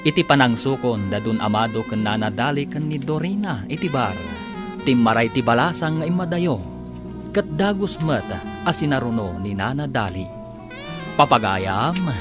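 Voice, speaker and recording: Male radio-drama narrator, measured announcer read, lively pitch, music under him.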